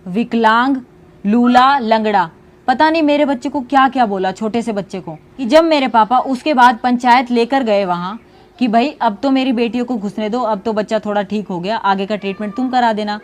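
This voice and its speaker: A woman's voice: a little high, moderate pace. A woman giving accusatory, aggrieved personal testimony.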